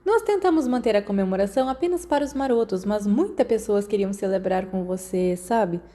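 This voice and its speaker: A woman's voice: mid-range voice, animated, a little fast, mellow, close to an everyday voice. A Brazilian woman voicing a confident, playful teenage wizard boy in an audiobook, breezy and theatrical.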